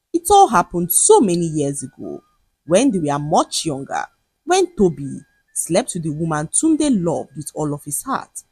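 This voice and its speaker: Female folktale narrator, lowish pitch, slightly husky, dramatic delivery. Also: no room sound. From Nigeria. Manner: dramatic, expressive storytelling. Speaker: storyteller. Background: music.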